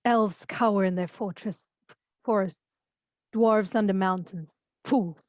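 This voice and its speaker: An evil fantasy queen, acted by a woman who speaks slowly and grandly. She is disdainful and commanding, a villain ruling over her minions. The sound is slightly dull and dry. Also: mid-range voice, no echo, natural voice. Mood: menacing. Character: villainous evil orc queen, imperious and contemptuous. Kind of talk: fantasy comedy table read.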